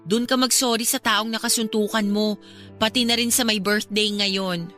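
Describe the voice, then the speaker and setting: A woman's voice: mid-range voice, steady tone, normal speed, sharp tone, soft background music, natural voice. An actress playing a level-headed young woman in a radio drama, conversational and mildly reproachful. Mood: reproachful, reasoning. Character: level-headed young woman gently scolding her troubled ex.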